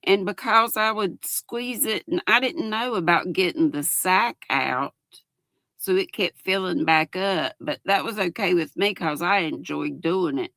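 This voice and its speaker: Older lady chatting with her stream viewers, giggly and folksy, kinda gravelly, dry close mic. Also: fairly low pitch, measured pace, a bit bright, no room sound. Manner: chatty, folksy, giggly, reads chat aloud. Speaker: livestream host. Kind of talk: casual chat reading viewer comments.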